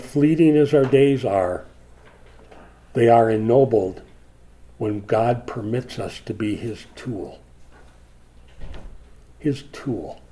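A man's voice: mid-range voice, dark tone. A man in his sixties preaching a sermon, reflective, rising with emphasis when he reads scripture. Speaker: pastor.

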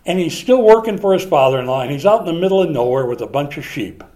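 A man preaching a conversational Bible lesson, animated at times and hushed at others. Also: on the high side, fast. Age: older. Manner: animated, swings from loud to hushed.

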